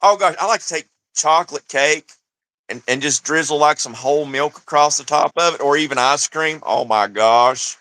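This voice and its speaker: A man's voice: a little high, moderate pace, pushed and bright, no echo. A man co-hosting a livestream, chatting bluntly with crude jokes and frequent laughter. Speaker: livestream co-host. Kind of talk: casual joking commentary.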